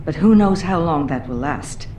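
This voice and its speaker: A woman playing a stern, businesslike company chairwoman with a formal, measured manner in a video game. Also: deep voice, expressive, warm tone, a little hiss or hum. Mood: grim, cool.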